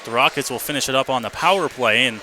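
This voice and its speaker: A man doing live radio play-by-play of a hockey game, in clipped running descriptions that can build to excited shouting. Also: mid-range voice, fast talker. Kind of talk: live radio sports commentary. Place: indoor hockey arena. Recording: noisy live arena broadcast. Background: loud rink ambience.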